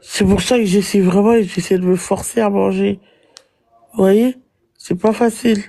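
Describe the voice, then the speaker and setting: A man's voice: sits high, steady tone, moderate pace, gravelly, mellow. A man talking casually on a live stream, stuffed up with a head cold and breathing heavily, speaking bluntly and lingering on his words. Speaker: livestreamer.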